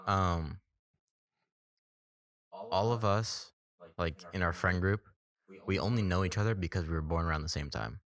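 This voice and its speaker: A man's voice: low voice, measured pace, pauses often, fairly bright tone. A young man co-hosting a podcast, musing in laid-back casual chat. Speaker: podcast co-host.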